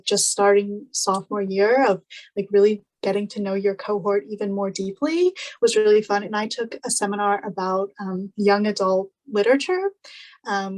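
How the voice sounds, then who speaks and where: A woman's voice: medium pitch, darkish tone, low-quality recording. A young woman in her twenties, a student panelist, talking in a chatty, upbeat way with lots of fillers. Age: 20s. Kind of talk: casual panel chat on a video call.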